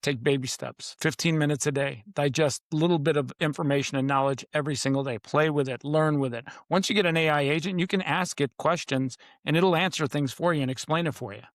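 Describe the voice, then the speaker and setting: A man's voice: on the high side, a little fast, hardly any pauses, dry recording, clean studio sound. A man speaking as an interview guest, conversational but emphatic, in short punchy declarations with dramatic repetition. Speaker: podcast guest, author.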